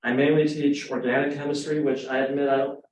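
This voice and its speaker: A man's voice: medium pitch, little pitch movement, fairly quick. A man, a longtime chemistry professor, introducing himself candidly and modestly. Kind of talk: casual self-introduction. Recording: echoey room from far off, faint background noise.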